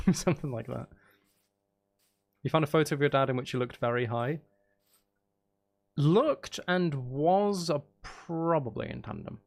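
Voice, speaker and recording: A man's voice: fairly high pitch, unhurried, long pauses. A man chatting casually as a livestream host, with playful, teasing, rambling banter and self-deprecating humour. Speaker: livestream host chatting with viewers while playing a game. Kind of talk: casual livestream chat with gameplay commentary.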